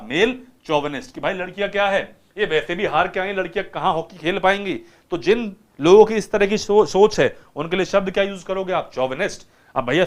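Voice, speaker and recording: Lively Indian man teaching vocabulary. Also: high-pitched. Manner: lively, keeps asking students rhetorical questions. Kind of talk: vocabulary lesson retelling a film.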